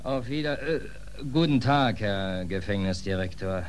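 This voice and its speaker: An actor playing a wry, hapless stateless drifter in a 1940s German radio play, deadpan and mock-polite. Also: male voice, fairly low pitch, a little slow. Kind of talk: 1940s German radio play acting and first-person narration. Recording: slightly noisy 1940s radio recording.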